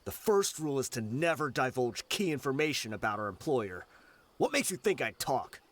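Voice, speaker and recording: A man's voice: medium pitch, sing-song, moderate pace, fairly bright tone. A man voicing a captured male mercenary in a video game, defiant and mocking. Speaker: game character.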